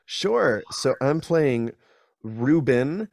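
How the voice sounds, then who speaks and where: A man's voice: medium pitch, very animated, drawn-out words, creaky, a little mellow. A young man, a voice actor, chatting in an interview over a video call with a studio mic, rambling and self-deprecating with many restarts. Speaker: guest voice actor. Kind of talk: casual interview chat. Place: small room at home.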